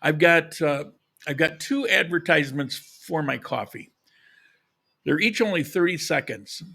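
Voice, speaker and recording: A man with a fairly high, very bright and forward voice hosts a casual livestream. He addresses his viewers directly in an informal, conversational way. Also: animated, measured pace, creaky. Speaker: live podcast host.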